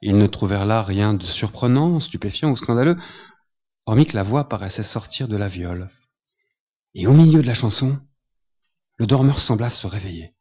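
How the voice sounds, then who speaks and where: Male reader, husky and low, measured storytelling, muffled event recording. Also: animated, a little mellow. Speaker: reader at a literary tribute. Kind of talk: literary reading aloud. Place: small cinema salon.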